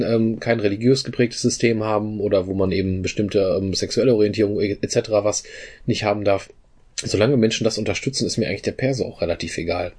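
A man's voice: fairly low pitch, a little mellow, husky. A man in a casual group discussion, laying out his views thoughtfully and searching for words with many fillers.

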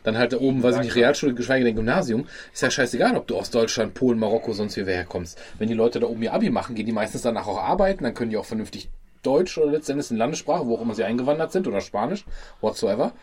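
Brief: male podcast host, rapid rambling talk, mid pitch. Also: animated, darkish tone, a little hiss or hum. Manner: rapid, rambling, frequent restarts. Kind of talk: casual group discussion.

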